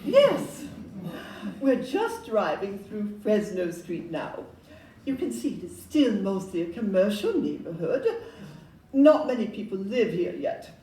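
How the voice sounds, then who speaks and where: A woman's voice: mid-range voice, big pitch swings, a little slow, mellow. An actress playing a polite, upbeat Victorian London estate agent in a stage audio play, genteel and salesmanlike as she glosses over flaws. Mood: upbeat pitching. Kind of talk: audio-play acting before an audience. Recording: roomy, distant live pickup, faint noise.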